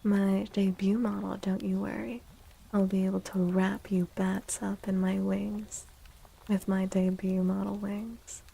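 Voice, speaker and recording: A woman streaming soft-spoken ASMR tarot readings in a breathy half-whisper, gentle and encouraging. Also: mid-range voice, flat delivery, unhurried.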